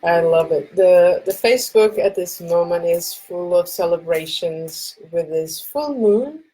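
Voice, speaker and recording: A woman from Portugal with a Portuguese accent, hosting a live card reading. She speaks gently and reassuringly and checks in often with "okay?". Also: lowish voice, measured pace, rough recording. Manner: soft, reassuring, often asks "okay?" and laughs. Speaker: tarot reader and live stream host.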